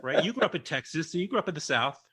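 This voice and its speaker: A man's voice: on the high side, big pitch swings, very fast, pushed and bright, breathy edge. A man hosting a podcast interview over a video call, rambling and often restarting his questions. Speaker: podcast host. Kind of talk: casual interview chat.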